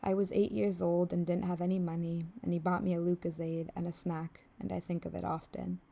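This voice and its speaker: Mellow, creaky-voiced young woman narrating. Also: on the low side, flat delivery, brisk. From England until age ten, then the US. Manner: reflective, wistful. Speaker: vlogger narrating her own video. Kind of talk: scripted reflective voice-over narration.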